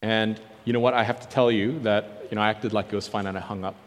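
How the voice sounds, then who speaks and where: A man's voice: fairly low pitch, fairly even intonation, quick pace, a little hiss or hum. Earnest man preaching in a reverberant hall. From born in South Korea. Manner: earnest storytelling, acts out remembered dialogue. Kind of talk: personal testimony in a sermon.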